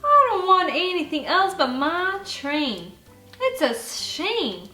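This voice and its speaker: A woman's voice: very high-pitched, very animated, takes it slowly, sharp tone, pitched above the performer's own voice, exaggerated voice. A woman voicing an earnest, eager young boy in a bedtime story, exclaiming in a childlike way. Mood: upset. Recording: roomy sound with faint music behind.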